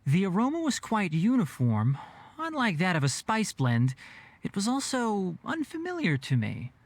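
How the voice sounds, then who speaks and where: Thoughtful young game scholar, lively melodic delivery. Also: male voice, high voice, no room sound, exaggerated voice. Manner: measured, analytical. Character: scholarly young man, reasoning aloud. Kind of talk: game voice acting.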